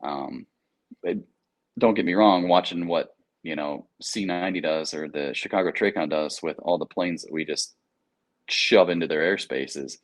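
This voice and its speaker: A man's voice: low voice, moderate pace. An American man talking casually in an interview, explaining things in an informal, anecdotal, slangy way. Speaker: air traffic controller, interview guest.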